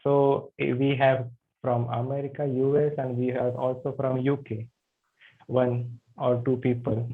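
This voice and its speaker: A man's voice: medium pitch, little pitch movement, unhurried. A man with an Indian accent teaching an online yoga anatomy class, friendly and asking his students questions. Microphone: video call. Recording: tinny video call audio.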